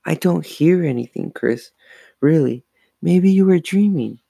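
A mischievous young twin sister in a storybook, voiced by a man, lifted higher than normal speech. The manner is dismissive and teasing, with a dry, close sound. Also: expressive, unhurried, vocal fry, dark tone, breathy edge, no echo, heavily acted. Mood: sleepy, dismissive.

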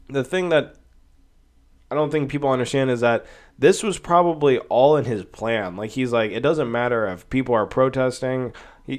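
A man hosts his own podcast while sick with a cold, sniffling and coughing now and then. He rambles and swears, with lots of fillers. His voice sits at an ordinary middle pitch. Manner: rambling, profane, with many fillers. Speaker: podcast host. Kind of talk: casual solo podcast commentary.

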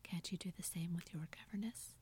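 A woman's voice: fairly low pitch, a little fast, a little mellow, natural voice. A woman whispering the part of a haughty, condescending English young lady in a storybook reading. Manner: patronizing.

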